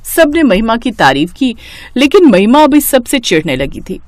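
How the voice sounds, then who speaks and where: A woman narrating a scripted story in an expressive storytelling manner. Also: fairly high pitch, normal speed, no echo.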